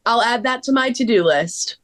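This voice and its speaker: A woman playing a bubbly, excitable young woman with a gushing manner in a table read. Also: fairly high pitch, moderate pace, close to an everyday voice. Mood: excited.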